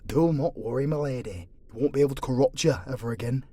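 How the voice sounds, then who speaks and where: A man's voice: medium pitch, quick pace, mellow, no room sound, lightly acted. A man playing a dutiful, prejudiced angel dungeon guard with a formal, sanctimonious manner, in a fantasy audio roleplay. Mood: flustered, deferential.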